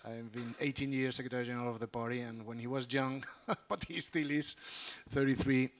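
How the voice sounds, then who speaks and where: A man with a Spanish accent speaks in a formal, measured way at a conference panel. His mid-range voice has a bright edge, with the odd "um" between points. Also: medium pitch. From Spain. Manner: measured, formal, some fillers. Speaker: panelist, politician.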